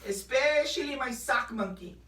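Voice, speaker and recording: A woman voicing an excitable, cheeky young kitty boy in a theatrical picture book read-aloud. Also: sits high, normal speed. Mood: eager. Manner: exclamatory, theatrical. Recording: roomy, distant microphone.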